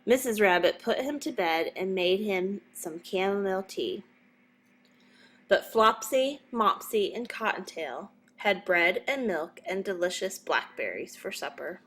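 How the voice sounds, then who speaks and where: A woman reading a children's story as a narrator, in a measured but expressive storytelling manner. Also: medium pitch. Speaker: storybook reader.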